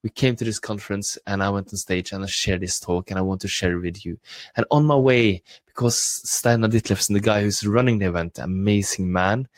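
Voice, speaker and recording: A man's voice: fairly low pitch, a little fast, no echo. A man with a Norwegian accent giving an enthusiastic motivational live broadcast talk as a coach trainer. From Norway.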